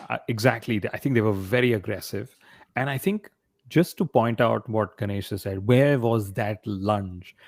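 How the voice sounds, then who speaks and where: A man's voice: lowish voice, darkish tone, no room sound, clean studio sound. A man co-hosting a motorsport podcast in casual conversation, often posing rhetorical questions. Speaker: podcast co-host.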